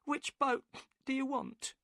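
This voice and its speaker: A man's voice: very high voice, flat delivery, slow, a bit bright, no room sound, clean studio sound, voice pushed up, exaggerated voice. A man voicing a worried, tearful middle-aged wife of a boatman, who answers deferentially and keeps calling him sir. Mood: anxious. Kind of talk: audiobook character voice.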